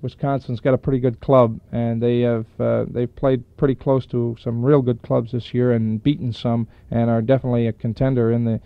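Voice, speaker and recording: An American man gives off-the-cuff sports talk with a creaky, gravelly edge. He is matter-of-fact and wordy, often hesitating as he goes. The sound is a dry, dull 1960s AM radio recording. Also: medium pitch, little pitch movement, fairly quick, hardly any pauses, no echo.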